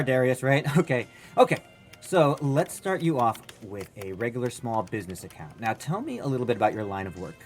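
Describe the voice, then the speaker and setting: An actor playing a chatty, eager, awkwardly chummy bank clerk in a comedic audio drama, chirpy and casual. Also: male voice, mid-range voice, close to an everyday voice. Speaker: bank employee.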